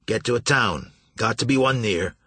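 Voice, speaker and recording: A stubborn riverboat captain, as a narrator voices him barks blunt orders in folksy speech. The voice is husky and mid-pitched. It sits dry and close. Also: male voice, normal speed, bright, forceful tone, no room sound. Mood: commanding.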